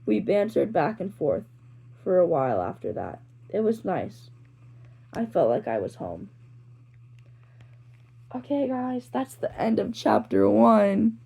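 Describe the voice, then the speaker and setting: Woman reading her fanfic n chatting, gravelly fry, husky, informal. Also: medium pitch, big pitch swings, slow pace, pauses often, dark tone. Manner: informal, self-deprecating, rambling. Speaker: fan fiction author and narrator. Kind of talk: amateur story narration and casual chat to listeners.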